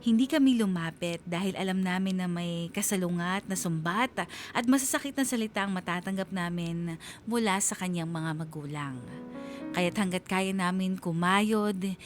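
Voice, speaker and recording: A woman's voice: fairly low pitch, moderate pace, faint music behind. A woman radio host reading a listener's letter as heartfelt, dramatic scripted narration.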